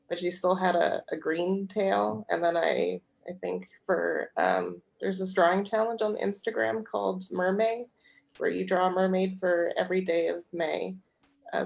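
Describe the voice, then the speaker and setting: A woman author-illustrator chatting informally in an interview, thinking aloud in a halting way. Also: lowish voice, creaky, a little mellow. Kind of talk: casual interview chat.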